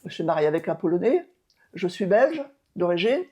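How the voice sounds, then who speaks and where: A woman's voice: on the low side, big pitch swings, brisk, a little mellow, slightly rough. A woman in her seventies, an outgoing mayor, answering interview questions emphatically with many hesitations and restarts. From France. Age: 70s. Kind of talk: local TV interview.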